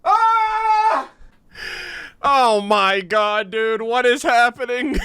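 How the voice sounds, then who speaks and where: A woman streaming a video game with casual, expressive, slangy and sweary reaction commentary. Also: fairly high pitch, extremely slow, a little hiss or hum. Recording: game music behind the voice.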